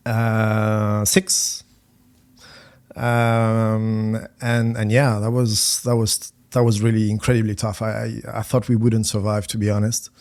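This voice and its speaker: A middle-aged man from France, a finance executive speaking as a podcast guest, talking haltingly with many repeated words and fillers. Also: fairly low pitch, level tone, darkish tone. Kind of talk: conversational podcast interview.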